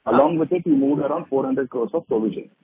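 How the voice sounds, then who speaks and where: Husky man over a phone. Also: mid-range voice, quick pace, dry recording. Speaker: analyst asking questions. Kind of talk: earnings call questioning. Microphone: phone line. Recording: muffled phone conference line.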